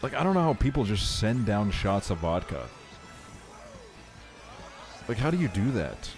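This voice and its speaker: A man's voice: fairly low pitch, very animated, unhurried, a little mellow, faint music behind. A man from Estonia livestreaming a video game, chatting casually in slangy, sweary talk. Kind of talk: casual chat while streaming a video game.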